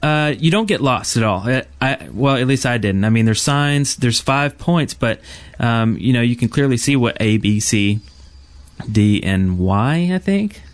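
A man's voice: medium pitch, lively intonation, a little slow, no room sound. A man co-hosting a gaming podcast, talking casually and with enthusiasm about what he describes. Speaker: podcast co-host.

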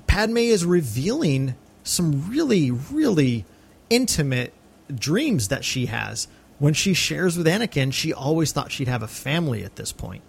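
An American man co-hosting a podcast, talking casually and reflectively about film, effusive and often halting to repeat phrases. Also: a little high, creaky. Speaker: podcast co-host.

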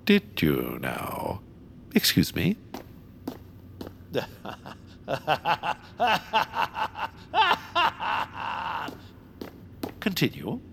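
A haughty, villainous baron in a comic audio drama. His pitch leaps dramatically as he talks, pompous and dismissive. There is faint background noise behind him. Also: wildly swooping pitch, extremely slow, creaky, long pauses, fairly bright tone, exaggerated voice. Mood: amused, smug. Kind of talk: scripted comedic audio drama acting.

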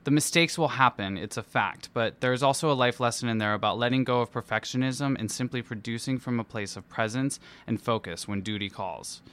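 A man with a smooth, clear voice at a medium pitch reads his own essay aloud. His delivery is theatrical and playful, with a self-deprecating streak, like a performer telling his own story. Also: fairly quick, few pauses, fairly bright tone. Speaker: musical theatre performer and dance captain. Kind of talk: personal essay read aloud.

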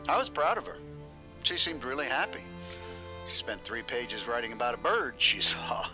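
An actor playing a good-natured, dim vice president in a comic audio drama, casual, guileless and bumbling. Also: male voice, medium pitch, sing-song, moderate pace, dull audio, over music, lightly acted. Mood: guileless, chatty.

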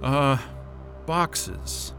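A man's voice: mid-range voice, drawn-out words, vocal fry, faint music behind, exaggerated voice. A man playing a frantic, hot-tempered, impatient stranded man in a naturalistic audio drama. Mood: puzzled, wary. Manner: excitable, exasperated, muttering and cursing.

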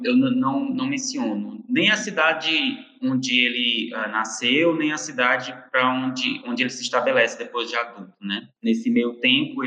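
A man, a novelist guest in an interview, speaking haltingly with many restarts. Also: mid-range voice, bright, forceful tone, lo-fi sound. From interior of Ceará, Brazil. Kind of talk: casual panel interview. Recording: echoey room with long reverb.

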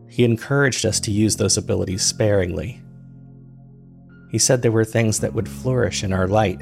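A man acting as a weary, uneasy man recounts strange events in a first-person audio drama. His voice sits on the low side and is heavily creaky, with measured, introspective delivery. Faint music plays behind him. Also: darkish tone. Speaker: narrator and host of a fiction podcast.

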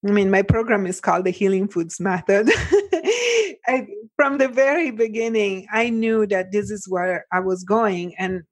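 A woman's voice: medium pitch, normal speed, dry recording. A middle-aged woman with an Italian accent speaks earnestly as an interview guest, often restarting her sentences. Her voice sounds dry and close, with no room around it. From Italy. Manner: earnest, many "like" and "you know" fillers, frequent restarts. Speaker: nutrition practitioner guest. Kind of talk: podcast interview.

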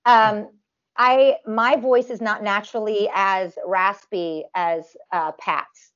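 A woman's voice: mid-range voice, steady tone, takes it slowly, a bit bright, dull audio. A woman with a nasal voice, a performer talking casually in an interview, full of restarts and fillers. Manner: talks in long runs with many restarts and fillers. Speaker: tribute performer guest.

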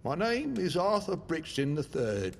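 A grave old London storyteller. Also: male voice, a little high, sing-song, creaky, a little hiss or hum, exaggerated voice. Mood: grave, reminiscing. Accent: London English. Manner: measured, grave, theatrical storyteller. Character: very old English man telling his life story. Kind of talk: audio drama storytelling. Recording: music behind the voice.

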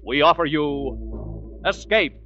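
A man's voice: a little high, takes it slowly. A man with an American accent announcing a 1940s radio drama in a formal, dramatic scripted style. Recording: tinny vintage radio recording, music behind.

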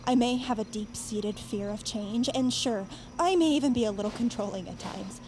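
A woman's voice: a little high, normal speed, a little mellow, a little hiss or hum, lightly acted. A young woman playing a headstrong, defensive girl in an audio drama, with a blunt, defiant, sarcastic delivery. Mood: bitter, resolute. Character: headstrong, defensive girl plotting to fight monsters alone.

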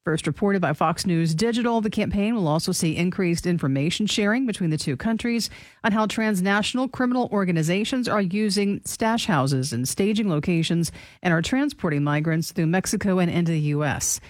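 A man's voice: high-pitched, fairly quick, no echo. A man reading scripted news as part of a radio show, close on a studio microphone.

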